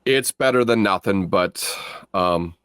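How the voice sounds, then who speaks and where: A man presenting tech news with his own opinions as a podcast panelist, in a halting way with repeated phrases. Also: low voice, fairly bright tone, clean tone, no room sound, clean studio sound.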